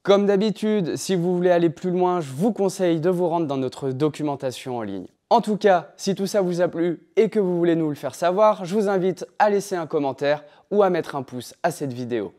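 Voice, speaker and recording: Higher-pitched man presenting a scripted tutorial. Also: animated, a little fast, few pauses, warm tone. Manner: clear didactic step-by-step delivery. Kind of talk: scripted explainer narration. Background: background music.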